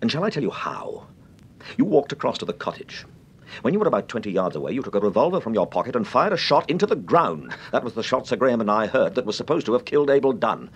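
An actor with a British accent playing an urbane, composed gentleman detective in a radio drama, polite, clipped and assured. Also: big pitch swings, fast talker, husky. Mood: accusing, insistent. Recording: rumbly, noisy old radio recording.

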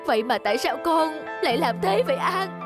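A woman's voice: high voice, animated, a little fast. A woman voicing a loving, emotional mother in a children's cartoon. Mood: hurt, reproachful. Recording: music behind the voice.

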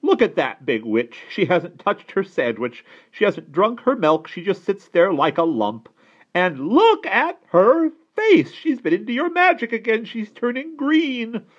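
A man's voice: high-pitched, big pitch swings, unhurried, dull audio, voice pushed up, heavily acted. A man voicing a bad-tempered old aunt who complains and bosses in a children's storybook. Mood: furious. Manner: complaining, bossy, exclaiming. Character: shrill, scolding, bad-tempered old aunt, never satisfied. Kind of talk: children's storybook read-aloud.

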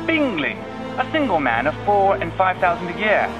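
A man reading an audiobook and voicing a fretful, excitable middle-aged mother with a high, fussy manner. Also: normal speed. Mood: excited. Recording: lo-fi with music behind.

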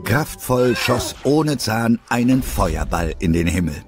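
A male narrator reads a children's audio story in a fairly low voice. Music plays clearly behind him. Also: big pitch swings, slow.

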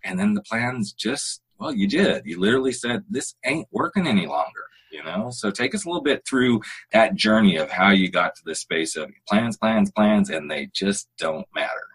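Male host, 50s; low, husky voice, easygoing and encouraging. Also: very animated, moderate pace, few pauses, poor audio. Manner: warm, encouraging, chatty. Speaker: podcast host. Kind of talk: casual interview chat.

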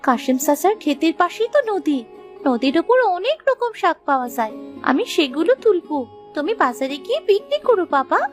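A woman's voice: high voice, very animated, a little fast, soft background music. A woman voicing an earnest, hopeful young village daughter in a Bengali cartoon story, pleading in a childlike way. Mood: determined.

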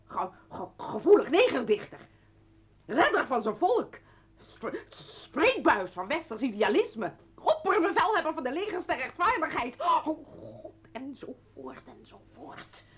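A woman's voice: sits high, very animated, measured pace, heavily acted. An actress playing an anguished, accusing mother confronting her former husband in a radio play, bitter and pleading. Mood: bitter, mocking. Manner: bitter, pleading, breaking into whispers. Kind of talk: Dutch radio play acting. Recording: dull old radio recording.

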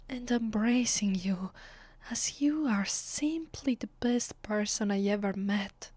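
A woman with an Italian accent playing a proud, conflicted vampire mistress in a breathy, whispered ASMR roleplay. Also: medium pitch, a little mellow, dry recording. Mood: torn, yearning. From Italy. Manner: slow, deliberate, haughty.

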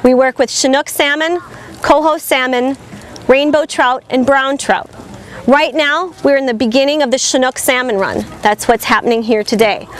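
A young woman naturalist guiding a tour outdoors, talking with a cold and slightly losing her voice, steady and informative with frequent ums. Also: high-pitched, a little hiss or hum. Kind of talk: outdoor guided tour talk. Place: outdoors by a river facility with a crowd. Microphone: far camera, no visible mic.

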